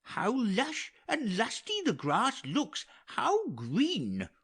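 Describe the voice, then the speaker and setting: A man's voice: very high-pitched, huge pitch swings, extremely slow, a bit bright, slightly rough, voice pushed up, heavily acted. A man voicing a talkative, optimistic old royal counsellor in an earnest, wordy Shakespearean audiobook reading. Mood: marvelling.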